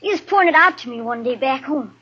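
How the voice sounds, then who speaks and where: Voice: high voice, animated, a little fast, pushed and bright, husky, full character voice. A performer playing a cocky, eager runaway boy of the frontier with a rural American accent in a 1950s radio western. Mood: awed, boastful. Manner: brash, boastful, folksy. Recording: dull 1950s radio broadcast recording.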